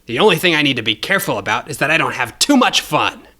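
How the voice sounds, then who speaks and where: A man's voice: mid-range voice, big pitch swings, quick pace, sharp tone. A man voicing a cocky, bloodthirsty young cyborg bounty hunter in an audio story, with a swaggering manner. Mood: confident, dismissive.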